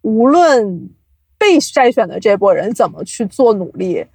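A woman's voice: mid-range voice, sing-song, unhurried, darkish tone, breathy edge, no room sound. A woman explaining at length, with examples, in a casual podcast interview as an invited guest. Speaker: guest, audio drama producer.

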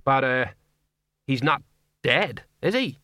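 A man's voice: mid-range voice, lively intonation, slow pace, bright, forceful tone, dry recording, clean studio sound. Man putting on a ponderous, officious police sergeant. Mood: baffled, uncertain. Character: big red-faced self-important slow-witted police sergeant.

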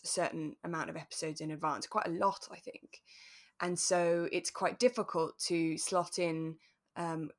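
A woman co-hosting a podcast, chatting in a relaxed, reflective and sympathetic way. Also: on the low side. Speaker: podcast co-host. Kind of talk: relaxed chat discussing a radio drama.

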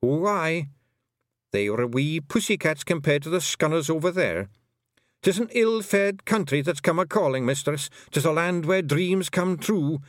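Brogue-heavy tiny fairy chieftain, put on by a male narrator: gruff, warlike, slow and foreboding, pitched up above his own voice. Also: lively intonation, dry recording, clean studio sound. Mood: grim. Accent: Scottish. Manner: thick brogue, slow and ominous.

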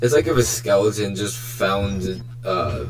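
Animated young man, profane and riffing. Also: on the low side, measured pace, soft background music, poor audio. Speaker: podcast host. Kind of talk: casual joking group banter.

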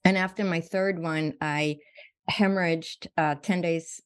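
Woman in her sixties, low-voiced. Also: steady tone, unhurried, no echo. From Sweden. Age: 60s. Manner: earnest, reflective, frequent restarts and repetitions. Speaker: guest physician. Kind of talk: conversational storytelling.

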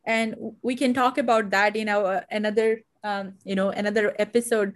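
A woman's voice: mid-range voice, fairly even intonation, moderate pace. A woman with an Indian accent hosting an interview, talking in a hesitant way with many fillers and restarts. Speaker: podcast host. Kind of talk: conversational interview.

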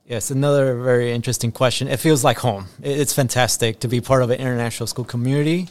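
An earnest man in his thirties, originally from Ecuador, answers interview questions on a school podcast. He speaks at an ordinary pitch for a man, repeating phrases and filling pauses with ums. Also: steady tone, no echo. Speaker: assistant principal.

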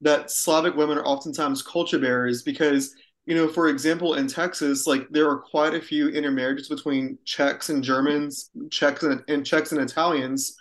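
A man co-hosting a podcast, explaining points in a quick, upbeat, casual way. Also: on the high side, steady tone. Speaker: podcast co-host.

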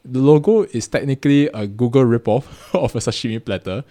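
A man's voice: medium pitch, fairly quick, dark tone. A man with a Singaporean accent co-hosting a casual podcast, rambling and often correcting himself as he goes. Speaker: podcast co-host.

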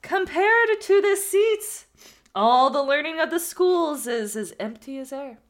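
A woman's voice: sits high, lively intonation, measured pace, voice pushed up. A woman hamming up a grandiose man trapped in a sack. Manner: grandly theatrical, archaic declaiming.

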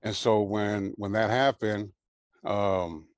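A man's voice: lowish voice, dry recording, studio quality. Older man telling his life story. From Hawaii, raised in Southern California. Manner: relaxed, unhurried, many fillers and "you know". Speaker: guest. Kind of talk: casual interview storytelling.

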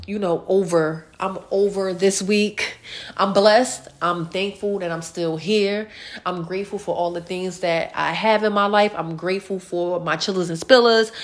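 A woman in her late thirties hosting a casual online chat show, speaking bluntly and repeating phrases for emphasis. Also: medium pitch. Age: late 30s, turning forty. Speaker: host of a live online show. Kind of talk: casual celebrity gossip and personal chat to followers.

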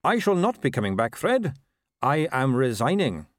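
A man voicing an earnest, upright young police captain in an audiobook, brisk, courteous and formal. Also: mid-range voice, sing-song, no echo, studio quality, pitched above the performer's own voice, lightly acted. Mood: bright, businesslike.

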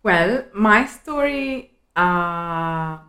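Woman late 20s, lowish voice, echoey room, laid back storytelling. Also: drawn-out words. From Spain. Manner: self-deprecating storyteller, relaxed. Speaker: podcast co-host. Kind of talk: casual chat. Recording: roomy echo.

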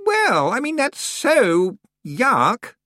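A reader voicing a frantic, bossy teenage girl witch in an audiobook, shrill and whiny, stammering when upset. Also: huge pitch swings, very slow, pushed and bright, no echo, clean studio sound, heavily acted. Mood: complaining.

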